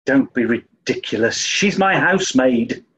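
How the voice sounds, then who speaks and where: An actor playing a dim-witted, snobbish English gentleman with an upper-class accent, flustered and hesitant, in a 1940s radio play style. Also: medium pitch, animated, measured pace. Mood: flustered, haughty.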